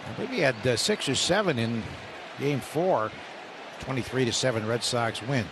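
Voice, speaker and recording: A man doing radio play-by-play of a baseball game, rising sharply in pitch when calling live action. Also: lively intonation, normal speed, crowd noise. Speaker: play-by-play announcer. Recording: radio broadcast with loud ballpark noise.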